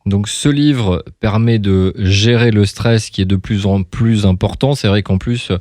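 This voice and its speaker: A man's voice: fairly low pitch, measured pace, darkish tone, dry recording, studio quality. A man hosting a casual radio interview, rambling as he repeats and rephrases himself.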